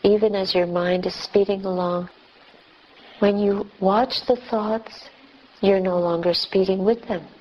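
Slow-speaking woman guiding meditation. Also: mid-range voice, steady tone, muffled recording, slight background noise. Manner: gentle, measured, with long pauses between short phrases. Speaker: meditation teacher, Buddhist nun. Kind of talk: guided meditation.

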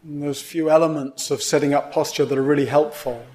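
A man teaching meditation, guiding a sitting in gentle, measured phrases with pauses between them. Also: a little high, fairly even intonation. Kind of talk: guided meditation instruction.

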